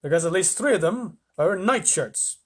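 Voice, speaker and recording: An excitable, flustered astronaut in a children's storybook, performed by a man reading aloud. He sounds urgent and insistent, with a high and very lively pitch. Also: moderate pace, husky, heavily acted. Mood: bewildered. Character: flustered, excitable male astronaut Shuckworth alerting mission control.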